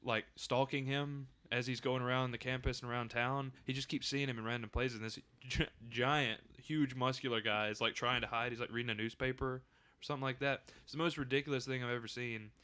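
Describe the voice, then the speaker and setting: A man's voice: mid-range voice, steady tone, brisk, sharp tone, clear, resonant tone. A man speaking as a podcast guest, telling things in a loose, conversational way. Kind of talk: casual storytelling chat.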